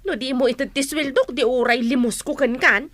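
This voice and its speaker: A woman's voice: sits high, a little fast, a bit bright, dry recording. An actress with an Ilocano accent playing a sassy, combative housemaid in a radio drama, taunting her opponent.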